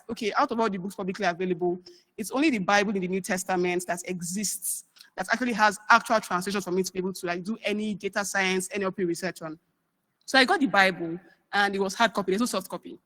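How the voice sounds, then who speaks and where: A woman's voice: mid-range voice, bright, forceful tone. A young woman giving a chatty conference research talk, full of fillers. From Nigeria. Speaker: researcher presenting.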